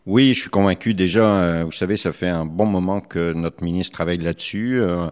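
A middle-aged man from France with a French accent, answering interview questions as a politician in a measured, hesitant, careful way. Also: low voice, darkish tone, clear, resonant tone. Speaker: politician, member of the Quebec legislature.

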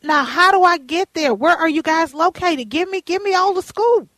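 A woman hosting a casual radio interview, lively and chummy with her guest. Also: very high voice, normal speed, no echo. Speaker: host.

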